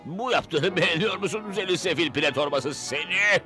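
A man's voice: on the high side, moderate pace, natural voice. A man playing a grumpy, scheming middle-aged evil wizard in a cartoon dub, whining and ranting theatrically. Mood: furious. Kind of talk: animated cartoon dub, theatrical villain acting. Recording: loud cartoon music behind.

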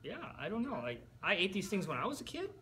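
A man's voice: sits high, big pitch swings, fast talker, bright, forceful tone, a little hiss or hum. A young man in a room, speaking into a headset mic in a playfully agreeable, mock-formal manner. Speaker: co-host. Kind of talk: mock-serious cooking commentary.

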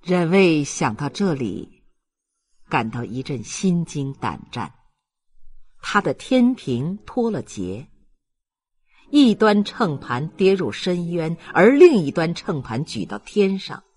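A man's voice: on the high side, sing-song, slow pace, a little mellow. A man narrating a literary novel as a scripted audiobook reading.

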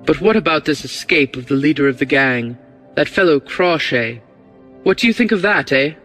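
A man reading the part of a cool, bold, quick-witted English gentleman rogue in an audiobook, breezy and commanding. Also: a little high, animated, soft background music. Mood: polite, probing.

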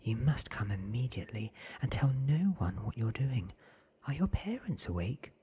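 A man voicing a cool, commanding, secretive vampire woman from a storybook in a clipped, breathy ASMR whisper. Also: mid-range voice, big pitch swings, normal speed, a little mellow. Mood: urgent, controlled.

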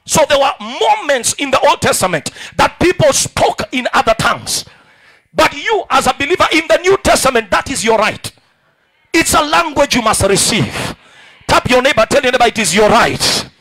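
A young Kenyan man preaching a sermon in a large church hall on a handheld mic, calling out to the congregation and rising into shouts. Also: very high voice, big pitch swings, normal speed. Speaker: pastor. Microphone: handheld microphone.

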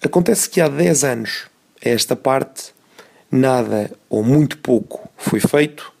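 A man with a European Portuguese accent reads a scripted opinion commentary in formal, measured, short phrases. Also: mid-range voice, lively intonation, gravelly, darkish tone.

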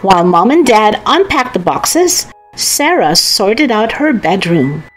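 Slow, expressive woman reading to children. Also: medium pitch, soft background music. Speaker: storybook reader. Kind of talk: children's read-aloud narration.

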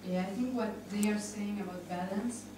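A woman's voice: medium pitch, normal speed, recorded from a distance. Young woman in a noisy, echoey room. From Argentina. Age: young adult. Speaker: panel participant. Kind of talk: informal discussion. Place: indoor conference room. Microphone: handheld microphone.

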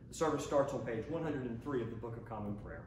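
A man leads a church service, reciting prayers in a measured, solemn manner. His voice sits at an ordinary male pitch and is heard at some distance from the microphone in a very roomy space. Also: a little mellow. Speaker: officiant leading a prayer service. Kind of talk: liturgical reading of prayers and psalms. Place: inside a church sanctuary by the altar. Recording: roomy, distant mic with faint noise.

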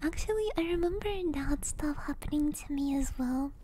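A woman streamer whispering breathily in a soothing, gentle way, chatting casually in ASMR style close to a binaural microphone. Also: sits high, lively intonation, normal speed, slight background noise. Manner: soothing, gentle personal attention. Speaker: ASMR streamer hosting a live chat. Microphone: close binaural stereo microphone.

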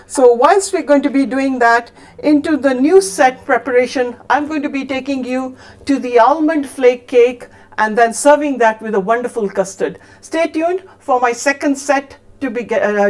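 A middle-aged woman with a slightly high voice talks through a recipe as she cooks. Her delivery is chatty and instructive, with small fillers and self-corrections. She is recorded at the stove in a home kitchen set, with the mic at mid distance. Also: moderate pace, darkish tone. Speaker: cooking show host. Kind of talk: cooking demonstration and recipe read-out.

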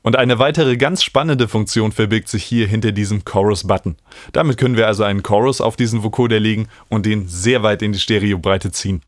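A man, calmly explaining music software step by step as a tutorial host. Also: on the low side, a little fast. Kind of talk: screen-recorded software tutorial.

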